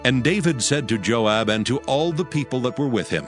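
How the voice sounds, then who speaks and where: A man giving a measured, scripted narration of scripture. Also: mid-range voice. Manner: measured, even reading. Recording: music bed under voice.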